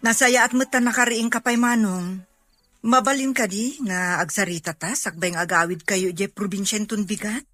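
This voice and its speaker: A woman playing a concerned, gently probing younger sister in an audio drama. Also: mid-range voice, moderate pace, faint music behind, lightly acted. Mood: concerned, gentle. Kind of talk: amateur Filipino audio drama acting.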